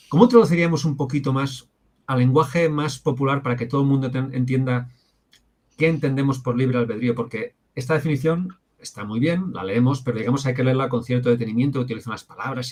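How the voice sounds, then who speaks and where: Host, man, mid-range voice, halting start, asks simple questions. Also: mid-range voice, darkish tone. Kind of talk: interview questions.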